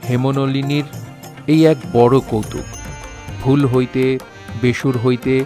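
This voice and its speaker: A man's voice: medium pitch, little pitch movement, slow pace, dark tone, faint music behind. A man narrating an audio drama in a formal, literary manner.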